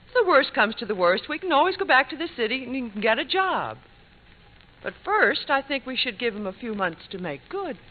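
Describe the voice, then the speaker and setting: A woman's voice: high voice, big pitch swings, a little fast. An American actress playing a patient, loyal middle-aged wife and mother in an old radio comedy. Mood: firm, supportive. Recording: tinny, noisy vintage radio recording.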